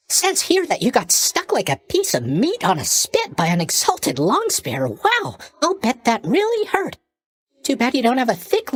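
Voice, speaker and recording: A man's voice: very high-pitched, a bit bright, no echo, full character voice. A man playing a cheery, oblivious young god of sleep in a video game, chatty and blithely tactless in his mock sympathy. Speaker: video game character voice actor. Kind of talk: video game character voice lines.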